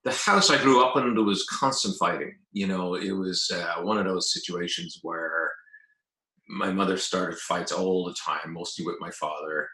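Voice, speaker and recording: Irish guy in his 50s, deep but bright voice, chatting about his own life, echoey room sound. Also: moderate pace. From Ireland. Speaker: interview guest, coach. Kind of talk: conversational personal storytelling. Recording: roomy, echoing sound.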